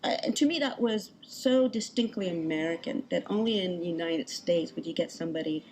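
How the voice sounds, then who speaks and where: A woman composer from California, talking in an animated, reflective way in an interview clip. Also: fairly low pitch, a little fast, slight background noise, low-quality recording. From Berkeley, California. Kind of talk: interview talk.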